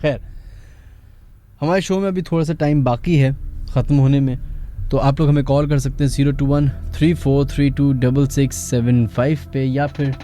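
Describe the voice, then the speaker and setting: A man's voice: medium pitch, steady tone, moderate pace, mellow. A man with a Pakistani accent hosting a late-night horror radio show, talking to listeners and callers. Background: background music.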